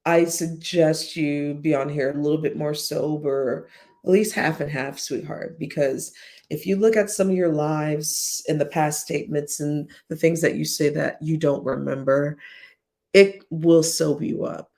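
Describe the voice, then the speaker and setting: Woman on a livestream, kinda whiny voice, gravelly, blunt and cussing, just chatting to chat. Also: sits low, fairly even intonation, measured pace, a little mellow. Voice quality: whiny-sounding voice. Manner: blunt, profane, chatty, addresses chat directly. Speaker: YouTube live streamer. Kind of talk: casual commentary livestream.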